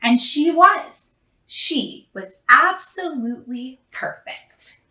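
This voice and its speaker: A middle-aged woman reads a children's story with big swoops of pitch and drawn-out emphasis. Her voice is bright, and it sounds roomy, a little away from the microphone. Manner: expressive, drawn-out emphasis on key words. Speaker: storybook reader. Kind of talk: animated children's story read-aloud. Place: small plain indoor room. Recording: roomy echo, distant microphone.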